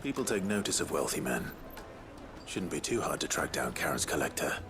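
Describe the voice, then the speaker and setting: An actor playing an earnest, patient outlaw leader in a video game, calm and politely spoken. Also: male voice, fairly quick, background noise. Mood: polite, determined. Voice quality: low, gravelly.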